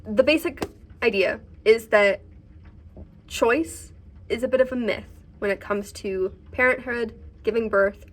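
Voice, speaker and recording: A woman chatting casually about books in a vlog, halting as she thinks aloud. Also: fairly high pitch, slight background noise. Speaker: book reviewer and vlogger.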